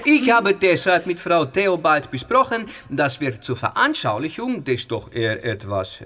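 An actor playing a friendly, slightly nervous young history teacher from northern Italy, speaking. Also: male voice, on the high side, very animated, moderate pace, a little hiss or hum. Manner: polite, warm, explaining. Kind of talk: audio drama acting.

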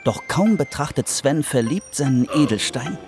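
A man's voice: mid-range voice, expressive, normal speed, a little mellow, close to an everyday voice. A man narrating a scripted children's adventure story over music. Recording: music under the voice.

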